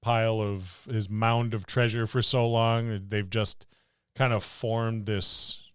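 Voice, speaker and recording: Clear, dry-miked middle-aged man bantering. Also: lowish voice, level tone, slow, dry recording. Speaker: podcast co-host. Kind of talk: casual joking banter about tabletop gaming.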